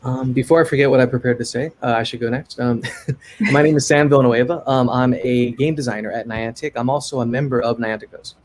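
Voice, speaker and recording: Male panelist from California, game designer type, average pitch, informal conversational delivery. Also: fairly even intonation, a little fast, slight background noise. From United States, grew up in California. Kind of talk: casual panel talk.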